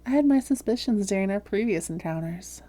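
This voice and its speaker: A woman playing a seductive, smug vampire who poses as a hypnotherapist, speaking softly and slowly, often whispering, in an ASMR roleplay. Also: medium pitch, lively intonation, close to an everyday voice. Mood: gloating, teasing. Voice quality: soft, breathy, often whispered. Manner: slow, coaxing, deliberate.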